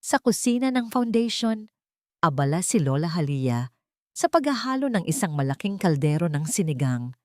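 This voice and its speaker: Low-pitched woman narrating a story. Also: normal speed, no echo, studio quality. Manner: steady storytelling read. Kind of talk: scripted audio story narration.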